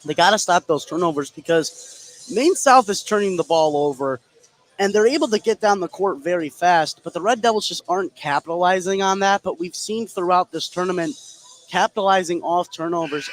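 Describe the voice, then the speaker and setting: A man's voice: high-pitched, fairly quick. A man doing live basketball commentary for radio, explaining the play in an analytical way. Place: indoor basketball gym. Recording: live gym broadcast with faint noise. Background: faint gym noise, bouncing basketball.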